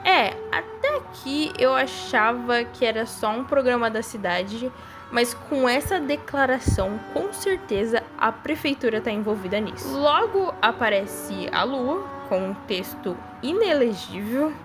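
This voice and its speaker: A young adult woman with a Brazilian accent and a clearly high voice talks through horror theories. Her delivery is informal and conversational, like a casual commentary voice-over. Music plays clearly underneath her. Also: lively intonation, a little slow. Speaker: video creator. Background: background music.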